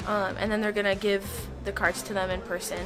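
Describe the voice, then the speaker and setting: A woman's voice: medium pitch, little pitch movement, fairly quick, music in the background. A teenage young woman, a student leader, giving an informal testimonial.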